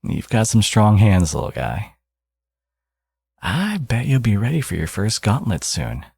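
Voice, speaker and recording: A man playing a smug, flirtatious villain in an intimate ASMR audio roleplay, teasing and coaxing, with a gravelly, husky voice. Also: on the low side, huge pitch swings, unhurried, darkish tone, no echo, exaggerated voice. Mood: playful, doting.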